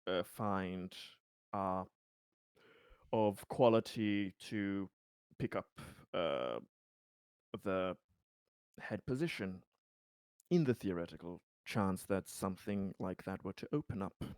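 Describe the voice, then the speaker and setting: A man plays a discreet, diplomatic investigator in a sci-fi tabletop game. He speaks very slowly in a low voice, picking formal, careful phrases with many halts. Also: lively intonation, a little mellow, clean tone, no echo. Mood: guarded probing. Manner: careful, halting, formal euphemisms. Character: measured, diplomatic, discreetly probing male investigator.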